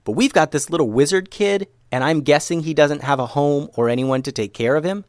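A man's voice: fairly high pitch, a little fast, no echo, clean studio sound, pitched above the performer's own voice, close to an everyday voice. A man voicing a bold, earnest female ninja leader in a children's story, speaking emphatically and with command. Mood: exasperated.

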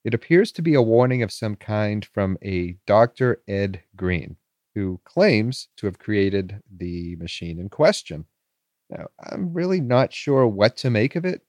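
A man's voice: fairly low pitch, expressive, unhurried, a little mellow, no room sound. A man playing a skeptical internet forum poster in a horror audio drama, casual and dismissive. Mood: skeptical, uneasy.

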